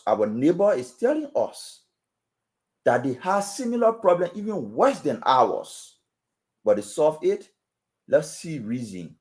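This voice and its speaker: A man's voice: medium pitch, mellow. A man with a Liberian accent, speaking as a guest on a political talk show with an emphatic, preaching cadence and repeated phrases. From Western Liberia. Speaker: panel guest.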